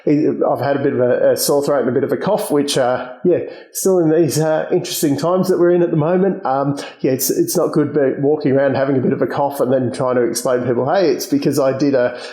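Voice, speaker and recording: A slightly hoarse man hosting a motorsport channel, talking casually and hesitantly with many ums and restarts. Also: medium pitch, steady tone, fast, few pauses, warm tone, echoey room. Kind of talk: casual solo commentary.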